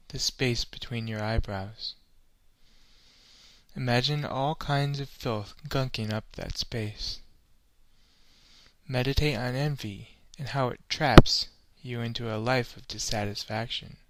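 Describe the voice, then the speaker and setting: A man reads a guided meditation in a solemn, instructional way. His pace is slow and his pitch sits in the middle range. The recording is dry and close, with very little room sound. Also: no room sound.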